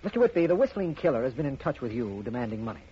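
Very husky stern man of authority, animated pitch, dull vintage radio sound, 1950s drama. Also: racing. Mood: brisk, official. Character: district attorney and a weary, stern father. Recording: dull old radio recording.

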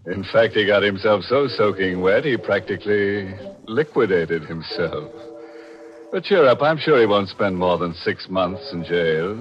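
An American actor playing a ghoulish, wry horror host who relishes morbid puns with sardonic asides, in 1940s radio style. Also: male voice, deep voice. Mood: mock-sympathetic. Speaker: program host. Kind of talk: tongue-in-cheek 1940s horror radio hosting. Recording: muffled old radio broadcast, music behind.

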